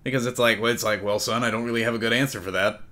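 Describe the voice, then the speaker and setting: A man's voice: medium pitch, steady tone, very fast, pushed and bright. A man hosting a casual panel podcast about movies, talking in a jokey, loose way with some swearing. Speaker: podcast host. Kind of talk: casual comic-book movie panel chat.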